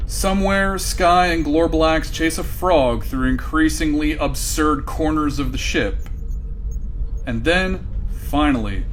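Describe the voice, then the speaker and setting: An actor playing a wry, theatrical narrator of a scripted sci-fi comedy radio drama. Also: male voice, a little high, slight background noise. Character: wry, theatrical male storyteller of space misadventures.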